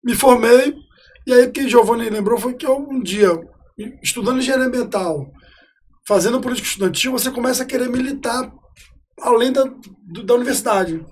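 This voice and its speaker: A man in his forties from Rio de Janeiro, a guest telling his life story in a relaxed, talkative way on a podcast. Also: extremely high pitch, fairly quick, a little hiss or hum. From Rio de Janeiro, Brazil. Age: 40s. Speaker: guest, city councillor. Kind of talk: casual storytelling interview.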